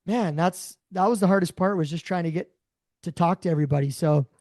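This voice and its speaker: A man with a raspy voice, co-hosting a podcast, rambling through casual chat. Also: high-pitched, brisk, dry recording, clean studio sound. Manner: rambling, warm storytelling. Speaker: podcast co-host.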